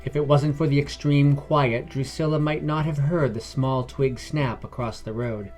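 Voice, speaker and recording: A man's voice: mid-range voice, fairly even intonation, normal speed, darkish tone. Man narrating fantasy fiction over music.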